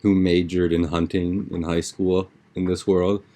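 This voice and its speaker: A man's voice: deep voice, little pitch movement, unhurried, mellow. A young man chatting casually as a podcast host, with an informal, slangy, rambling way of talking. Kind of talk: casual podcast chat, episode recap.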